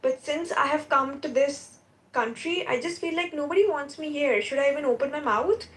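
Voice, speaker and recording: A woman's voice: sits high, moderate pace, a bit bright, rough recording. A woman in her twenties with an Indian accent, speaking in an earnest, apologetic, pleading way in a casual discussion. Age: 20s. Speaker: discussion participant, graduate student. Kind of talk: casual, personal debate talk.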